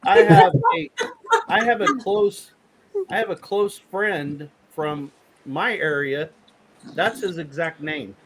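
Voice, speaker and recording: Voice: medium pitch, slow pace, noisy background. A chatty speaker in a casual group video chat, talking in a reassuring way. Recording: crowd chatter behind.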